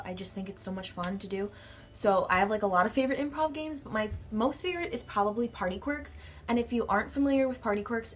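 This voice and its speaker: A teenage girl with a nasal, congested voice from a cold, chatting in a bubbly way in her bedroom. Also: mid-range voice. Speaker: vlogger. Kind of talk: casual chat answering a tag.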